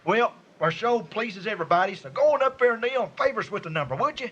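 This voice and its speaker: A man voicing an excitable folksy farmer show host with a rural American accent, hollering and repeating himself in a parody radio sketch. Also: sits high, fast, slightly rough, highs cut off, pitched above the performer's own voice, exaggerated voice. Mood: grumbling, folksy.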